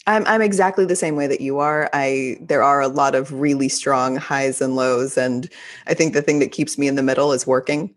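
Low-voiced chatty Texan podcast host woman. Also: steady tone, normal speed. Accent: mild Texan. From Texas. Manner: chatty and effusive. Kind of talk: casual solo podcast talk.

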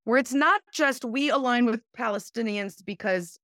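A woman's voice: a little high, moderate pace, fairly bright tone, no room sound, studio quality. A woman speaking as a guest in a casual political conversation. She is emphatic and indignant and swears for emphasis. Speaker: guest commentator.

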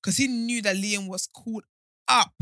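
A woman's voice: medium pitch, fairly even intonation, pushed and bright, no echo, studio quality. A young woman co-hosting a podcast, chatting in a blunt, opinionated way and acting out what others said. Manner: fast, blunt and opinionated, acts out quotes. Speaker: podcast co-host. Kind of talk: casual gossip chat.

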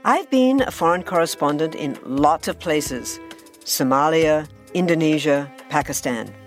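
A woman's voice: low voice, animated, unhurried. A woman journalist narrating a scripted podcast promo as its host. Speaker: foreign correspondent and podcast host. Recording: music bed behind the voice.

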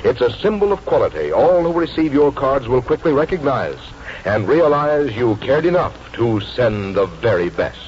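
A man's voice: medium pitch, big pitch swings, moderate pace, a little mellow. An American man announcing and reading a scripted commercial in 1940s radio style. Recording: dull, faintly noisy 1940s radio recording.

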